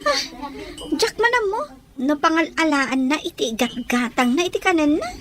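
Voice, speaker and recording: Woman acting as outspoken young lady, very high voice, lively swooping intonation, gossipy, audio drama. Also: normal speed, crowd noise. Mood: teasing, scolding.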